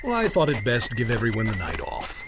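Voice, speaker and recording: Over clearly noisy ambience comes a sinister magician's mechanic who runs a carnival dark ride. He is courtly and mock-polite, with a theatrical delivery that swoops enormously in pitch, in the manner of an audio drama villain. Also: huge pitch swings, quick pace. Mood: smoothly polite.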